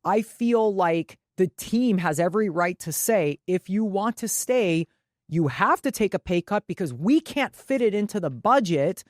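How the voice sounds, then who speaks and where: Female sports podcast co-host, medium pitch, dry recording. Blunt, opinionated, salty-tongued, conversational and indignant. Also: animated, unhurried, no echo. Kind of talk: casual, opinionated sports talk.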